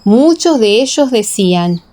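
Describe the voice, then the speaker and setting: A woman narrating a dramatized scripture audiobook in a solemn, measured, carefully enunciated way, with heavy reverberation. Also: a little high, sing-song, mellow. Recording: echoing, long reverb.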